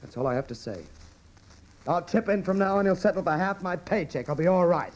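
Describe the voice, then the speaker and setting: An actor playing a bitter, wounded young adult son in a radio drama, blunt and heated. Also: male voice, sits high, expressive, fairly quick, a little mellow, highs cut off. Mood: defiant. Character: bitter, defiant grown son, restless and wounded. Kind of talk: dramatic radio play acting.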